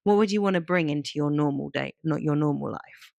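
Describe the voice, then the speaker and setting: A woman's voice: low voice, a little fast, vocal fry, darkish tone, dry recording. A woman co-hosting a podcast, chatting casually in an informal, rambling way. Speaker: podcast co-host.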